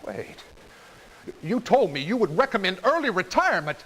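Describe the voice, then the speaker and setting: A man's voice: sits high, sing-song, a bit bright. A man playing a weak, anxious senator in a video game, flustered and deferential in manner. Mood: bewildered, alarmed. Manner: pleading, halting, flustered. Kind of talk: dramatic video game cutscene acting. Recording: faint room noise, old game audio.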